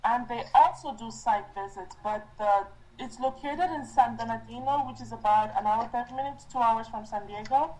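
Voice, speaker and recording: A woman's voice: fairly high pitch, normal speed, few pauses, mellow, slight background noise, low-quality recording. A woman student giving an informal project update to her group on a conference call.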